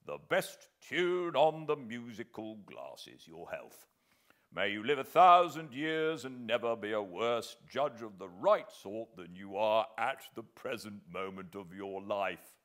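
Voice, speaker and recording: A gruff, jovial old-school soldier as voiced by a male storyteller. He talks low and unhurried, with a bluff swing in his pitch. Commanding, then free with a toast. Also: very animated, a bit bright, exaggerated voice. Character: gruff hearty soldier sergeant, middle-aged man.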